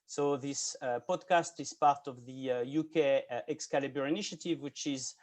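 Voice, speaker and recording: A man's voice: mid-range voice, steady tone, normal speed. A man in his forties hosting an interview podcast over a video call, introducing a guest with many hesitant fillers. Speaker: podcast host.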